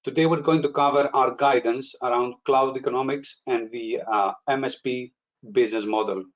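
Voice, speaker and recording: A man's voice: mid-range voice, steady tone, moderate pace, lo-fi sound. A man hosting a business webinar, speaking hesitantly with many "uh" fillers. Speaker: webinar host. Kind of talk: webinar presentation.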